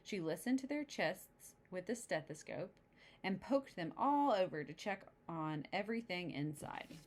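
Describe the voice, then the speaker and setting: A woman reading a picture book to young children, pausing to explain the pictures in simple, chatty asides. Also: lowish voice, animated. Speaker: storybook reader.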